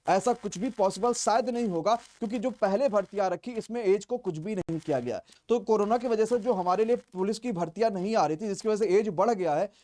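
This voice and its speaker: A man's voice: high voice, a little mellow. A man explaining informally as a coaching teacher, repeating key points for emphasis. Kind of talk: informal exam vacancy briefing.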